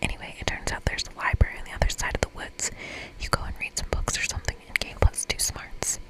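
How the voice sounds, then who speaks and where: A woman's voice: quick pace, rough recording. Whispering woman narrating a game. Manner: informal asides while reading. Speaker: ASMR creator.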